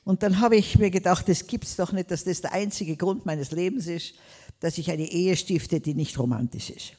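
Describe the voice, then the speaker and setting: A woman's voice: lowish voice, normal speed, dark tone, long reverb tail. An older woman from Tyrol with a Tyrolean accent, preaching a sermon emphatically, drawing out words and calling out Amen and Hallelujah. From Tyrol, Austria.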